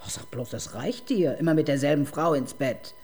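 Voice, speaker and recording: An actress playing a sharp, sardonic middle-aged police detective in a radio crime drama, dry and ironic. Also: female voice, deep voice, normal speed, close to an everyday voice. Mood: teasing. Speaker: detective chief inspector.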